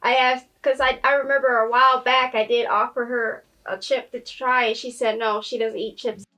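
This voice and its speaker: A woman's voice: on the high side, level tone, normal speed, sharp tone. A woman chatting casually as a panelist on a podcast. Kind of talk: casual chat. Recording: distant from the microphone.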